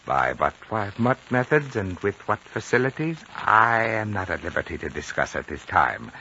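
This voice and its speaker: A courteous Chinese diplomat of a remote monastery, very deep and formal with a lively rise and fall. He chooses his words with ceremonious evasion, on a dull, noisy old radio recording. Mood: measured, evasive. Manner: formal, measured, ceremoniously polite. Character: courteous, evasive Chinese male lamasery official, soft-spoken diplomat. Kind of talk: 1950s radio drama acting.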